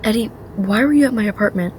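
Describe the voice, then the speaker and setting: A woman's voice: medium pitch, fast talker, darkish tone, slight background noise, lightly acted. A woman playing a frightened but determined young woman on the run in a first-person radio drama. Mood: subdued.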